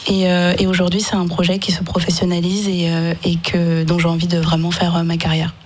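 A woman's voice: on the low side, monotone, brisk, a little hiss or hum. A woman singer-songwriter answering questions in a casual radio interview, thoughtful and hesitant, with many fillers. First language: French.

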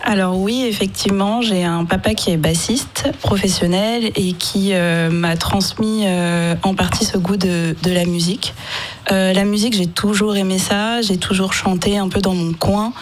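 A woman's voice: medium pitch, fairly even intonation, moderate pace. A woman singer-songwriter answering questions in a casual radio interview, thoughtful and hesitant, with many fillers. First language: French.

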